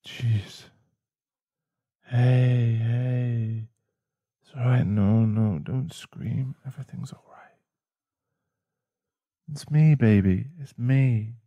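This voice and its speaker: A man's voice: mid-range voice, very slow, long pauses, warm tone, no room sound, lo-fi sound. A man playing a protective, tender werewolf boyfriend in an ASMR roleplay, speaking in a soothing, reassuring manner. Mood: soothing, worried.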